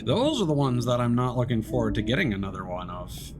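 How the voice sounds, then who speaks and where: A man's voice: mid-range voice, sing-song, a little fast. A man casually talking through his game as he plays, rambling and correcting himself mid-sentence. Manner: rambling, self-interrupting, mild swearing. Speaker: streamer. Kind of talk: casual gameplay commentary. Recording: game music behind.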